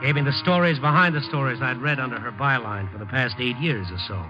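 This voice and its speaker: An actor playing a sardonic, hard-boiled newspaper reporter who narrates and wisecracks drily in a 1950s radio drama. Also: male voice, medium pitch, quick pace, music in the background, lightly acted. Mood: brooding narration. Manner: dry wisecracking delivery. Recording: muffled old 1950s radio recording.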